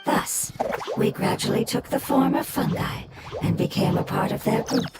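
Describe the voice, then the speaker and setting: A woman voicing a gentle, thoughtful ancient plant spirit in an anime game, speaking in measured, earnest, formal phrases. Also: medium pitch, lightly acted. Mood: calm, explanatory. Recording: loud music behind the voice.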